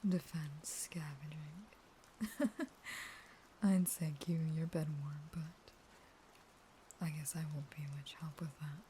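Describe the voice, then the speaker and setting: A woman playing a manipulative, unstable vampire wife in an intimate audio roleplay, speaking in halting, whispered pleas. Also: low voice, fairly even intonation, mellow, slight background noise. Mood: coaxing, pleading.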